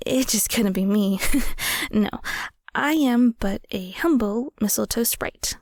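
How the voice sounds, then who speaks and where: A woman voicing a playful, easygoing, easily flustered winged mistletoe sprite in an intimate audio roleplay, with casual, halting, quippy rambling. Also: medium pitch, darkish tone, no echo, clean studio sound. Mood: teasing, breezy.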